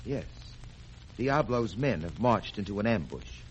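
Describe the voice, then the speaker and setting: A man's voice: lowish voice, animated, unhurried, breathy edge. An actor playing a firm male detective making an arrest, in old radio drama. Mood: stern. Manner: firm, commanding. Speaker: detective and program narrator. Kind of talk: 1930s radio acting. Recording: muffled old radio recording with hiss.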